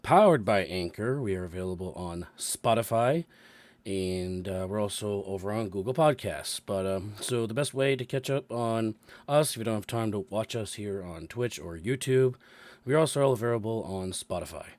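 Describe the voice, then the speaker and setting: A man with a cold, hoarse, hosting and running a tabletop game session, halting with many fillers. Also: lowish voice, a little mellow, dry recording. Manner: halting, many ums and restarts. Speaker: dungeon master and show host. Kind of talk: casual announcements and chat. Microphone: new microphone.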